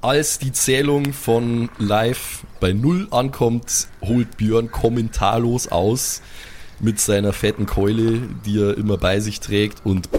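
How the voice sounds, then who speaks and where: A man narrating an improvised tabletop game as game master in a measured, descriptive way. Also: on the low side, a little mellow, slight background noise.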